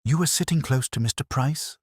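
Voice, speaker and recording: A man voicing a calm, mild police inspector in a mystery story, gentle and understated. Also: medium pitch, fairly quick, slightly rough, no room sound, clean studio sound, voice pushed up, lightly acted. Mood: mild probing.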